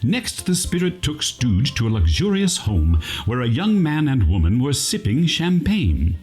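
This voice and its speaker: A man's voice: mid-range voice, huge pitch swings, a little slow, a little mellow, slightly rough, soft background music, exaggerated voice. A man playing a wry, mock-dramatic narrator, reading scripted narration for a parody radio play.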